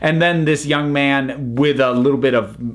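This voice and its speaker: A man's voice: mid-range voice, fairly even intonation, normal speed. A man giving a casual, unscripted review, chatty and rambling as the host.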